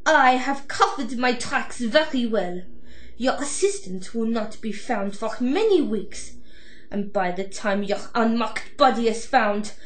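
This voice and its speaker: A woman's voice: a little high, reverberant, a little hiss or hum, lightly acted. A woman playing a coolly gloating female doctor villain in an amateur audio drama, speaking with formal, mock-polite theatricality.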